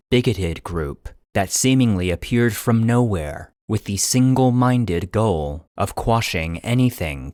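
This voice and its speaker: Man, dramatic scripted narration, lowish pitch, grave and measured, very dry sound. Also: a little mellow, dry recording.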